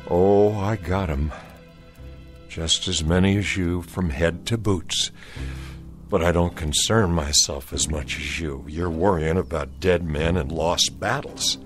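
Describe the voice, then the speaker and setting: A stern scout, deep voice. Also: male voice, takes it slowly. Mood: calm. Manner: gruff, commanding drawl. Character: steely Confederate scout, young adult man, stern and dutiful. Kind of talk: 1960s radio drama acting. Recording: old radio drama with music.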